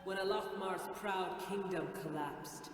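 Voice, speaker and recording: Ancient brooding dragon in cavernous reverb. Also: crowd noise. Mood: proud, resolute. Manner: solemn, grandiose, deliberate. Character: ancient brooding dragon, proud, tormented, loyal to its king. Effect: heavy cavernous reverb. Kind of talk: scripted dramatic game voice acting. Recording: long booming reverb, game music underneath. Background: game music.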